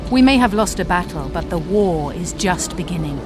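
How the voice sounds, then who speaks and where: Video game role: scheming sorceress played by a woman, imperious and contemptuous, theatrical menace, music clearly underneath. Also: fairly low pitch, expressive, normal speed, close to an everyday voice. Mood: menacing. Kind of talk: dramatic video game acting.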